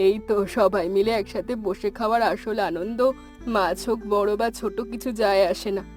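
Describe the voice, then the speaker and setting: A woman's voice: medium pitch, fairly even intonation, normal speed, a little mellow, lightly acted. Woman playing a patient old village grandmother, music behind. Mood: content, affectionate. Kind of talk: cartoon story dialogue in Bengali.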